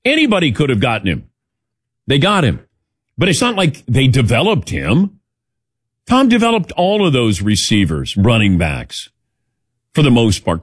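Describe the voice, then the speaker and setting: A male radio host talking sports in a casual, opinionated way. His pitch moves around a lot, and the sound is dry and close, with a wry edge to his delivery. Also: slightly rough, no room sound.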